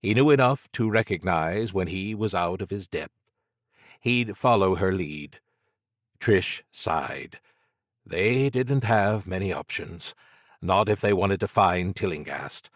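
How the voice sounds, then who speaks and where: A man's voice: deep voice, animated, slow, no echo, clean studio sound. A man reading scripted dramatic fiction as an audiobook narrator.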